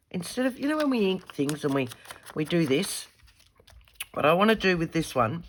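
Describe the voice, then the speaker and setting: An Australian woman talks through a craft demonstration at her desk. Her voice is croaky from a head cold. She chats casually, thinking aloud and correcting her own words as she goes. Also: low voice. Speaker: craft tutorial host.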